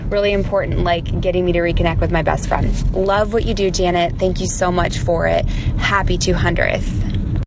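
A woman's voice: fairly even intonation, normal speed. A woman recording an earnest, appreciative personal message as a listener of a show. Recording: clear rumbling noise, like a vehicle.

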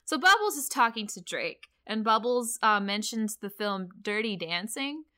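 A woman hosting a podcast in casual, animated banter with an incredulous, giggly manner. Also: a little high, moderate pace. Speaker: podcast host.

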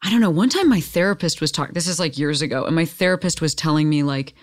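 A woman with a deep voice hosting an interview, chatty and expressive, laughing often. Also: fast, no echo, clean studio sound. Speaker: interviewer, host. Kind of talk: casual conversational interview.

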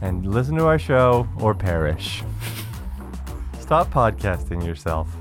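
A man's voice: fairly low pitch, very animated, slow pace. A man delivering a tongue-in-cheek scripted podcast promo over music.

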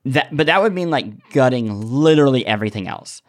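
A man co-hosting a podcast in casual discussion, thinking aloud with animated enthusiasm. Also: medium pitch, brisk. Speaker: podcast co-host.

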